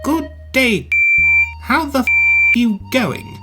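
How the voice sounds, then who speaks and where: A man's voice: very high voice, wildly swooping pitch, moderate pace, loud music behind the voice, voice pushed up, full character voice. A man voicing a cheerful, naive young male steam engine in a children's storybook, eager and exclaiming. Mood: curious, cheerful.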